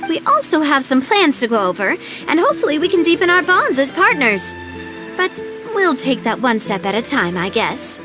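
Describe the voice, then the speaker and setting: Poised, high-voiced young lady leader. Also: sing-song, moderate pace, over music. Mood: bashful, cautious. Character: poised young lady leading a crime-fighting organization, earnest and polite. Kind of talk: video game cutscene voice acting.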